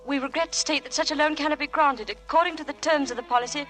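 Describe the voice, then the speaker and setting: High-voiced, anxious young wife. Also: female voice, quick pace, fairly bright tone, soft background music. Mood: tense. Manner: hesitant, guarded. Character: anxious, suspicious young wife hiding her fear. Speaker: radio actress. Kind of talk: 1940s radio acting. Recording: old radio broadcast recording.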